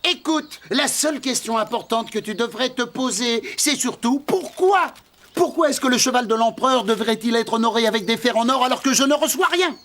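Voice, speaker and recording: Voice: medium pitch, a little fast, a bit bright, a little hiss or hum, natural voice. An actor playing a vain, indignant, boastful scarab beetle in a children's cartoon, with a pompous, whiny, theatrical delivery. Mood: scornful, boastful. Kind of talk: French-dubbed children's cartoon acting.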